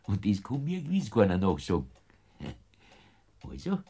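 An actor in a classic radio play playing a stubborn, crafty old Bavarian man who talks gruffly and coaxes cheerfully. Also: lowish voice, wildly swooping pitch, measured pace, mellow, full character voice. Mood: coaxing. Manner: gruff, then jovially coaxing.

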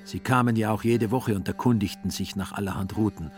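A man's voice: fairly low pitch, brisk, faint music behind. A man narrating a literary radio play as a scripted storyteller.

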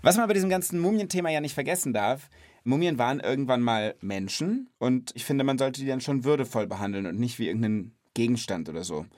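A man's voice: mid-range voice, quick pace, a little mellow, dry recording, studio quality. A man hosting a kids' knowledge podcast, explaining things in an informal, curious way and checking back with questions. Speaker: host of a children's knowledge podcast. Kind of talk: casual conversational explaining to a child.

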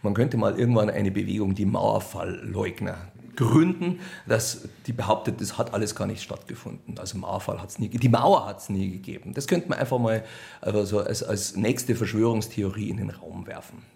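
Give the voice of a Bavarian man in a casual interview. He speaks low with a gravelly creak, in a colloquial and wry way. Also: moderate pace, a little mellow. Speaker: radio play author and director being interviewed.

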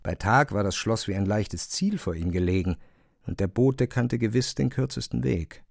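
Creaky-voiced male literary narrator. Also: on the low side, expressive, moderate pace, dry recording, clean studio sound. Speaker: narrator of a radio play. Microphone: close studio microphone.

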